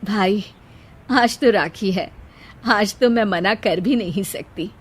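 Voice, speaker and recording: A woman's voice: medium pitch, slow, breathy edge. A woman voicing a kind, forgiving elder sister in a cartoon moral story, speaking in an obliging way. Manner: kindly, obliging.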